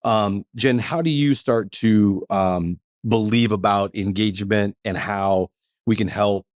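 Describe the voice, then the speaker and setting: An upbeat man hosting a workplace podcast in a relaxed, chatty way, with plenty of fillers. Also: lowish voice, no room sound, clean studio sound. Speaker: podcast host. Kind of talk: casual podcast chat.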